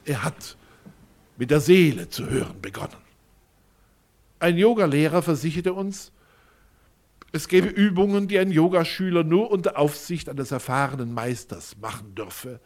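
An actor playing a solemn, pompous eulogist in an audio drama, speaking in grave, elevated, deliberate phrases. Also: male voice, on the high side, expressive, a little mellow. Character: solemn, pompous male eulogist musing on the dead.

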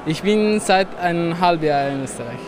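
A seventeen-year-old man from Afghanistan, answering interview questions in halting, simple phrases with pauses. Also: a little high, animated, noisy background. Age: teens. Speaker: trainee at a workshop. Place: busy indoor fair hall.

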